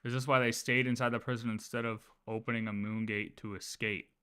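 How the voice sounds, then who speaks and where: Bright, punchy male streamer explaining game lore. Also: medium pitch, a little fast, smooth. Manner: animated, informal, repeats phrases, often checks with "right?". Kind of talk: casual livestream lore explanation, chatting with viewers.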